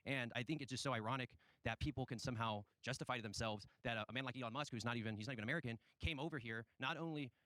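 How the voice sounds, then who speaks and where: A man's voice: mid-range voice, racing, few pauses, bright, forceful tone, dry recording. A man speaking in a group debate, rambling with many fillers and restarts. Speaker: debate participant.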